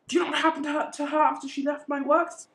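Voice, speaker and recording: Pompous, dismissive businessman father for amateur radio play, slightly high voice played by a woman. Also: level tone, quick pace, a bit bright. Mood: stern. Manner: pompous, indignant. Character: pompous middle-aged businessman father, dismissive and self-satisfied.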